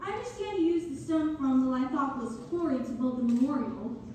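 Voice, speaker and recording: High-voiced actress as a student interviewer, very echoey. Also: female voice, lively intonation, brisk, darkish tone. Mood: polite, curious. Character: polite, curious female library science graduate student interviewer. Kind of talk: dramatic read-through on stage. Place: echoey hall, community theater performance. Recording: echoey, distant microphone, faint noise.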